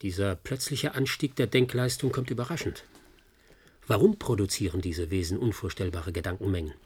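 Husky, earnest male scientist. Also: low-pitched, fairly quick, a little mellow. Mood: puzzled. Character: principled, earnest male dissenting scientist caring for patients. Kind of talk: German radio play acting.

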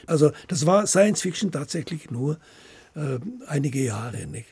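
An older man, a writer being interviewed, talking haltingly as he searches for words and often adding little tag questions. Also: fairly high pitch, animated, vocal fry, a little mellow, breathy edge, no echo. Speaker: radio play writer as interview guest. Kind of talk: unscripted interview chat.

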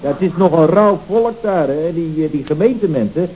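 An actor playing a deferential employee who tells things in a hesitant, colloquial, rambling way, in a radio comedy serial. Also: male voice, on the high side, animated, normal speed, a little mellow, natural voice. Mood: agitated reporting. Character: deferential, rambling male employee reporting to his boss. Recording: dull, noisy old radio recording.

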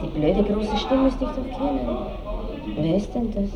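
A woman's voice: medium pitch, lively intonation, a little slow, creaky, over a noisy crowd. An actress playing an aristocratic married lady in a radio play, tender, teasing and commanding toward her lover, refined and theatrical. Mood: haughty, excited. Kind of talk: mid-century German radio play acting. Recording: echoey, muffled old radio recording with hiss.

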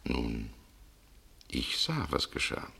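A male actor playing a shrewd, cool man in a German radio mystery, speaking in a gravelly, deliberate, insinuating way. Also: a bass voice, wildly swooping pitch, bright, forceful tone, exaggerated voice. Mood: accusing, controlled. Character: shrewd, cool man coolly interrogating a murder suspect.

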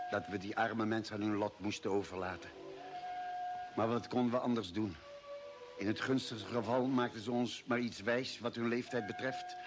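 An actor playing a sober, thoughtful space expedition member in a radio drama, with a measured, grave delivery. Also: male voice, medium pitch, natural voice. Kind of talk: radio drama acting and narration. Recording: noisy vintage radio drama recording.

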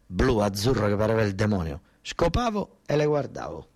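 A man's voice: lowish voice, very animated, moderate pace, a little mellow. A man with a southern Italian accent performing a narrative theatre monologue in a colloquial, insistent way, repeating phrases for emphasis. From Basilicata, southern Italy. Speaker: actor-storyteller.

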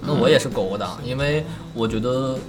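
A man's voice: mid-range voice, brisk, crowd noise. A man chatting casually as an interview guest, joking around and explaining himself in rambling, repetitive phrases. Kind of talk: casual bantering chat. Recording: background music throughout. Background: music.

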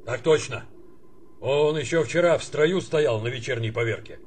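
An actor playing a bullying army captain in a radio drama, coarse, animated, mocking and quick to justify himself. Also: male voice, medium pitch, a little hiss or hum, close to an everyday voice. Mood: reporting.

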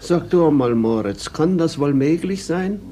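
An actor playing a poor, troubled old weaver in a radio drama, hesitant and repeating words in Silesian German dialect. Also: male voice, on the high side, expressive, measured pace, mellow, slight background noise. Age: older.

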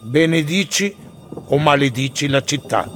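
A man's voice: a little high, lively intonation, a little slow. A man working as an interviewer, putting questions in a radio piece. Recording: clear background noise and ambience.

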